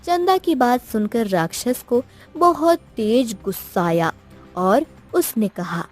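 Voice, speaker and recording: A woman telling a scripted moral story as an expressive narrator. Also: fairly high pitch, takes it slowly. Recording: music behind the voice.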